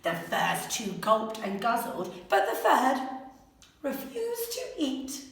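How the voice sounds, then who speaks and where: A woman teacher reading a children's story aloud in an expressive, theatrical, deliberate way. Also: on the high side. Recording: very echoey room, slightly distant mic.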